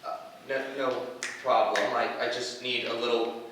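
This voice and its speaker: A man's voice: mid-range voice, level tone, moderate pace, background noise, close to an everyday voice. A man playing a nervy but hopeful young hero with fire powers, stammering and earnestly encouraging in a staged reading. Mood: flustered. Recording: echoey room, distant mic.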